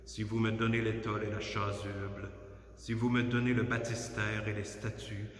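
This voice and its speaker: A man with a fairly low voice recites poetry in a solemn, declamatory way. His phrasing is measured and his intonation stays fairly even. The sound is that of a church, with strong echo and a long reverberant tail. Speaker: poetry reader. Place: inside a church at night.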